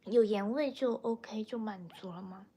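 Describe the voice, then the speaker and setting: A woman's voice: medium pitch, moderate pace, dark tone, slightly rough. A young woman from China, a native Mandarin speaker with a Chinese accent, chatting casually as a livestream host and replying to viewers. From Chongqing, China. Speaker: livestream host, language teacher.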